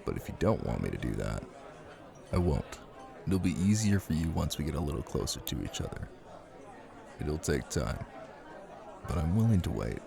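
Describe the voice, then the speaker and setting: A man acting out a menacing, possessive mafia husband in an intimate audio roleplay. His voice is very low, with heavy gravelly fry, and it moves expressively between coaxing and command, with deliberate pauses. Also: sing-song, crowd noise, heavily acted. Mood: measured, patient. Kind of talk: intimate scripted ASMR roleplay.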